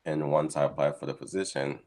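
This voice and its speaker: A man's voice: extremely low pitch, fairly even intonation, brisk, darkish tone. A man, a guest scientist explaining his work steadily in a casual interview over a video call. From Haiti. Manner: thoughtful, explains steadily with frequent ums.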